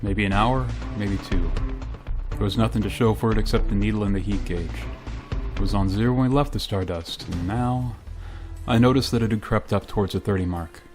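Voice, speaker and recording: An actor playing a troubled, dutiful space pilot who narrates in a terse, grave way in a radio play. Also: male voice, fairly low pitch, a little fast, over music, lightly acted. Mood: grave narrating. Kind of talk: dramatic radio play acting with first-person narration.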